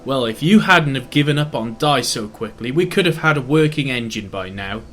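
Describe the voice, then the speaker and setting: A man's voice: medium pitch, animated, normal speed, a bit bright, soft background music, natural voice. A man voicing an irritable, proud railway owner in an audio drama, blustering and exasperated.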